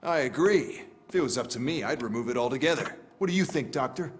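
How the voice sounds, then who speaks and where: A man's voice: mid-range voice, animated, fast talker, soft background music, lightly acted. An actor playing a sardonic, dismissive man in a video game, with a dry, mocking delivery. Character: sardonic, dismissive man, scornful of human feelings.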